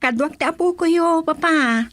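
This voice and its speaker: A woman's voice: very high voice, normal speed, fairly bright tone, no room sound. A woman playing an earnest, respectful young mother and dutiful daughter in a radio drama.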